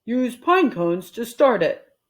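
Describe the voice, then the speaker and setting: A bossy, exasperated husband from a storybook acted out by a woman reader. He is emphatic and commanding, stretching his words slowly, with big jumps in pitch. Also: big pitch swings.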